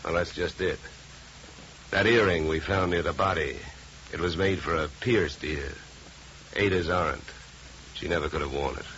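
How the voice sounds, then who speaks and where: A man's voice: low voice, moderate pace, pauses often, fairly bright tone, a little hiss or hum. An American actor playing a tough, wry male investigator in a 1950s radio detective drama, with a clipped hard-boiled delivery. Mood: low, confident. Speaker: lead actor. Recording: muffled 1950s radio recording, music.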